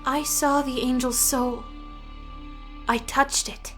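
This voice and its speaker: A woman's voice: high-pitched, little pitch movement, takes it slowly, faint music behind. A woman voicing a troubled, earnest teenage village girl in a fantasy audiobook. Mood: solemn. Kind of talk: audiobook character acting.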